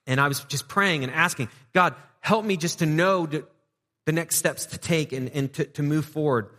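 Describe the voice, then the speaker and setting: A man's voice: a little high, normal speed, bright, forceful tone. A male pastor preaching a casual, joking sermon to his congregation. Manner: conversational and joking, with playful asides to the congregation. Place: church sanctuary. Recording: long reverb of a church hall.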